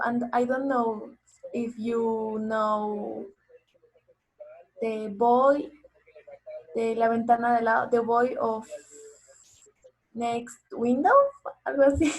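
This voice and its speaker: A woman in her twenties, a native Spanish speaker, talking hesitantly in halting English on a video call. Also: on the high side, rough recording. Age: 20s. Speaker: audience member. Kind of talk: casual video call chat.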